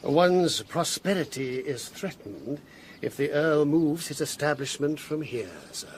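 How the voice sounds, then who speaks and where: An actor playing a bulky, deferential, scheming butler in a period drama, formal and obsequious. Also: male voice, a little high, measured pace, slight background noise, lightly acted. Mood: deferential, guarded. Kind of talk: period audio drama acting.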